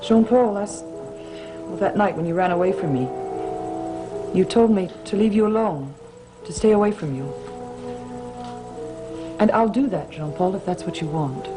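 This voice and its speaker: An actress playing an earnest, wounded heroine in a gothic soap opera, speaking with pleading sincerity. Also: female voice, medium pitch, a little slow, pauses often, over music, natural voice. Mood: hurt, pleading.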